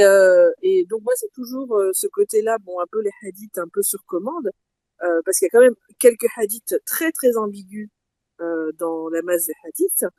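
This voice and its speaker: Participant, female, mellow and dark, dry close sound, halting and wry. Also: mid-range voice, no room sound. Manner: hesitant, many "euh" fillers, wry. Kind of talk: casual live audio-room discussion.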